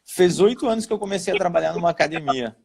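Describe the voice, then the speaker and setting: A man's voice: sits high, quick pace, vocal fry, slightly rough. A man who works as a personal trainer, telling his story casually and acting out the dialogue. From Brazil. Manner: animated storyteller, acts out dialogue.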